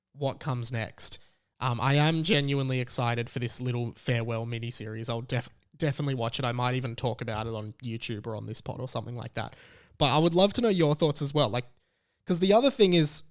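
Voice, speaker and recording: A man in his thirties hosting a podcast, giving casual solo commentary in a chatty, animated storytelling way. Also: mid-range voice. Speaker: podcast host.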